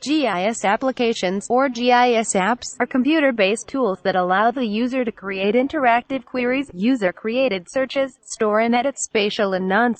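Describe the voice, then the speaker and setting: A woman's text-to-speech voice reading technical text aloud in a measured, impersonal manner. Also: a little high, no room sound.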